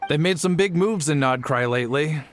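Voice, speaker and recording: A man's voice: on the high side, brisk, faint music behind, lightly acted. An actor playing a polite, earnest travelling merchant and family man in a video game. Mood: earnest, explaining.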